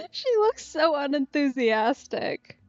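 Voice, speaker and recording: A woman's voice: sits high, no room sound. A woman giving casual, playful let's play commentary with a wry manner. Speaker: game streamer. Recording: dry, slightly dull microphone. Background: game music.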